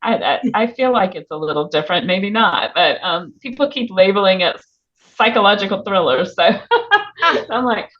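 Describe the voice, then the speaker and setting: A woman's voice: mid-range voice, animated, moderate pace, fairly bright tone. A woman speaking as an author guest in a casual interview, informal and a little hesitant as she thinks aloud. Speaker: author guest on a book podcast. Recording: muffled, band-limited audio.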